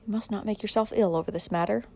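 A woman's voice: mid-range voice, fairly even intonation, fast talker, mellow, lightly acted. Haughty, stern patriarch for a storybook, older man played by a woman, stiff and commanding. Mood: stiff consoling. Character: proud, stern older father, domineering and angry.

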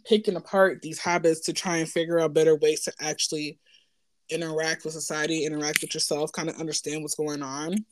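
A woman's voice: sits low, level tone, fairly quick, background noise. A woman speaking informally and candidly in a personal conversation, often checking in for agreement as she goes.

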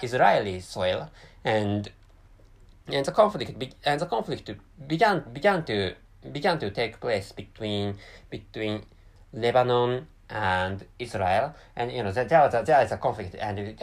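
A man speaking English as a second language, talking alone in a halting way, with many restarts and self-corrections. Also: medium pitch. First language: not English. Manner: apologetic about his English. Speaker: commentator. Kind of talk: unscripted solo monologue.

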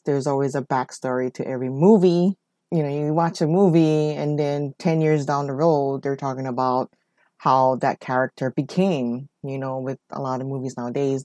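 A woman's voice: rumbling low, warm tone, no echo, clean studio sound. Chatty woman in her forties. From Hawaii. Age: 40s. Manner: rambling, conversational, leans on "you know" and "whatnot". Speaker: podcast host. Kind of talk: personal storytelling monologue.

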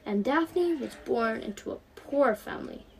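A teenage young woman reading her own story aloud in an animated storybook style. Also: a little high, a little slow, vocal fry, slight background noise, poor audio.

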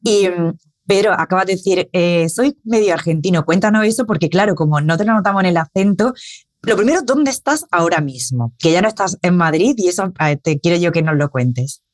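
A woman's voice: on the low side, brisk, no echo, studio quality. A woman hosting a podcast interview, asking questions in a chatty, enthusiastic way. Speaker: podcast host. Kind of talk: casual interview talk.

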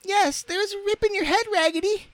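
A narrator voicing a dainty, excitable, caring girl doll from a storybook, with a fussy, pleading manner. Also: very high voice, moderate pace, no room sound, voice pushed up. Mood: helpful, concerned.

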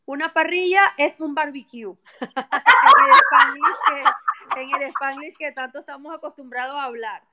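A woman's voice: high voice, expressive, unhurried, very noisy. A woman, a real estate agent, chatting as a guest on a live stream in a friendly way, with many fillers. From Venezuela. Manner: friendly, many fillers and restarts, laughs easily. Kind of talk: casual interview chat.

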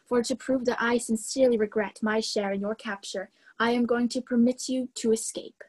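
A woman voicing a remorseful male demon who speaks softly and pleadingly in a storybook reading. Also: fairly high pitch, steady tone, normal speed, close to an everyday voice. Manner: soft, pleading put-on voice.

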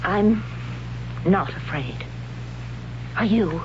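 Devoted young tribeswoman, slow-spoken, lively pitch, lo-fi radio sound. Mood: earnest. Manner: hushed, earnest. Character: young woman of a future tribe, devoted and fearful. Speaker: radio drama actor. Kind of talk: 1970s radio drama acting. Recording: lo-fi old radio recording with hiss.

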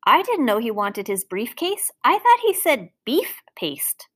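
Cheeky storybook kid, acted by a woman reader: high, swooping, sing-song, playing innocent. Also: wildly swooping pitch, a little slow, a bit bright, heavily acted. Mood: mock innocent. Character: mischievous young children feigning innocent mishearing.

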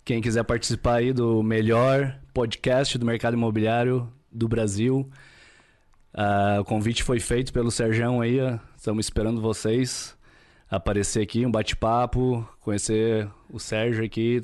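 A Brazilian man talking casually as a podcast guest, unhurried and sometimes searching for his words. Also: medium pitch, level tone, darkish tone. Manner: unhurried, searches for words, frequent tag questions. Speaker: podcast guest, real estate broker. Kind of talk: casual interview chat.

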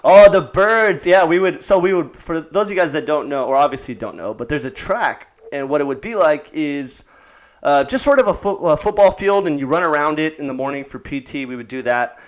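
A man's voice: fairly high pitch, animated, fairly quick. A man hosting a casual, laid-back chat with an old army buddy. He laughs often and swears easily.

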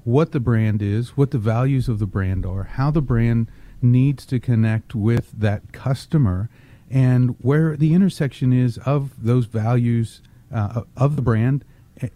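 A man from Texas, founder and CEO of an agency, giving measured interview answers with frequent ums. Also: medium pitch, mellow. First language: English, also grew up with Spanish.

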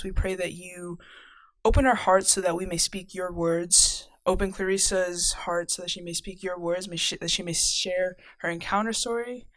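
A woman's voice: fairly low pitch, fairly bright tone, dry recording, rough recording. An upbeat young woman co-hosts a casual faith podcast. Her voice sits close and dry, with no room around it. She talks in a chatty, easygoing way and sometimes trips over a name. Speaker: podcast co-host. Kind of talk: casual chat and spoken prayer.

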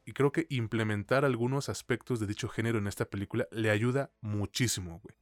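A man's voice: fairly low pitch, fairly quick, dry recording, studio quality. A Mexican man co-hosting a podcast, giving film opinions in casual talk peppered with slang. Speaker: podcast co-host. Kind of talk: casual film review chat.

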